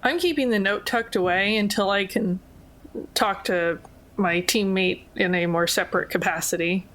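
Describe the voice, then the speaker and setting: A woman's voice: mid-range voice, normal speed, fairly bright tone. A woman chatting casually as a player in a tabletop roleplaying game.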